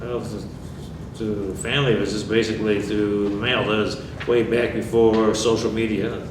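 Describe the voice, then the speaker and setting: Older male veteran, low voice, measured and dry; roomy, distant, noisy recording. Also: level tone. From New York State. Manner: plainspoken, dry humor, measured. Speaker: veteran interviewee. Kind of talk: oral history interview. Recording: echoey room, far mic, background noise.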